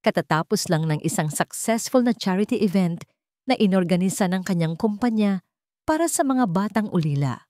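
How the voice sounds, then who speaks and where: A woman's voice: mid-range voice, lively intonation, normal speed, mellow, no echo, studio quality. A woman narrator reading a scripted dramatic story.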